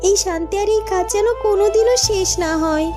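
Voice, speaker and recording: Very high-voiced humble young princess, acted by a woman. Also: a little fast. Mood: gentle, resolute. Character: humble, kind young princess and weaving teacher. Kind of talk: cartoon fairy-tale dialogue. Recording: music behind.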